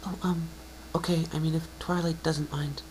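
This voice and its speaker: Man doing a timid, soft, high pony girl. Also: level tone, moderate pace, slight background noise, close to an everyday voice, poor audio. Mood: shy, hesitant. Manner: hesitant, soft-spoken, stammering. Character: shy, gentle young female pegasus pony.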